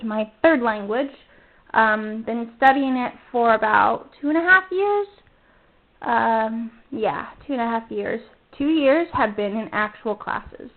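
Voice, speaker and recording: A young college-age woman with a high voice talks through her podcast in a loose, rambling way, stopping to correct herself as she goes. The sound is narrow and tinny, like a phone line. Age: about 20. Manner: chatty, rambling, self-correcting. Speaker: podcast host. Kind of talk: casual solo podcast talk.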